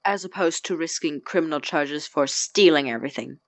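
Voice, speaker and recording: A woman's voice: deep voice, moderate pace, no echo. A woman playing a sarcastic, exasperated young male pre-med student in an audio drama, with a biting, incredulous, dramatic delivery.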